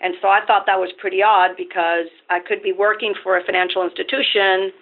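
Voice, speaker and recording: A woman from Venezuela, a company CEO and podcast guest, telling her career story in a measured, explanatory way. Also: mid-range voice, level tone. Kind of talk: podcast interview. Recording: tinny phone-line sound.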